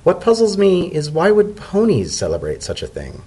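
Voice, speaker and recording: Man voicing a shrewd, animated female ambassador. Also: sits high, moderate pace, slightly rough, voice pushed up, exaggerated voice. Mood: puzzled. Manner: dry, formal, probing questions. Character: mild-toned female ambassador, shrewd, aloof and inquisitive.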